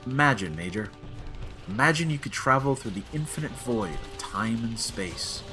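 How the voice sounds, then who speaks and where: A man's voice: mid-range voice, lively intonation, moderate pace, pushed and bright, soft background music. A man playing a grandiose, exasperated alien time traveller in a comic audio drama, theatrical and dry.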